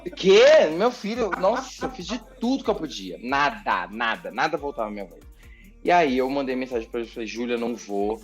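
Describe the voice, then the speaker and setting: A man with a Brazilian accent, a TV journalist chatting as a podcast guest, telling a story in an animated, expressive way and repeating words for emphasis. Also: mid-range voice, fairly quick, soft background music. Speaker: TV journalist and presenter, interview guest. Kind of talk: casual podcast interview storytelling.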